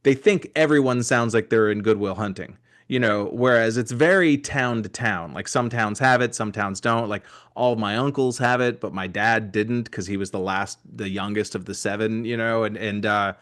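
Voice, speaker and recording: A man's voice: lowish voice, normal speed, fairly bright tone. A man in his forties, a comedian from near Boston, chatting casually and rambling with many fillers. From near Boston, USA. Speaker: stand-up comedian, guest. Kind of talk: casual podcast chat.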